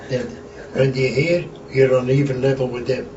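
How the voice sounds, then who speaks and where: A man's voice: medium pitch, steady tone, moderate pace. An older man from Antwerp, a retired wrestler reminiscing in a blunt, rambling, profane way. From Antwerp, Belgium. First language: Flemish. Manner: blunt, rambling, swears freely. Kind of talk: casual reminiscing chat. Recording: distant, roomy, dull lo-fi with background hiss.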